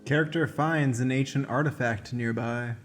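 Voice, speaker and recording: A man's voice: mid-range voice, steady tone, moderate pace, soft background music. A man narrating stage directions and making announcements as host of an improvised radio play.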